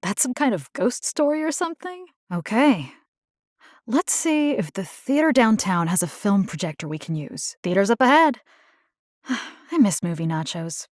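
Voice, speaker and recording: A woman's voice: mid-range voice, unhurried, no echo, studio quality. A woman voicing a plucky, caring video game combat engineer, casual and wry. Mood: curious, wry. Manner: casual, wry, expressive, slipping into Spanish slang. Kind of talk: video game character voice lines.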